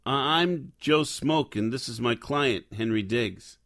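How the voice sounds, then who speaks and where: A man's voice: medium pitch, slow, fairly bright tone. An actor playing a hard-boiled private detective who narrates his case in a noir audio drama. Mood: businesslike. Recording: music under the voice.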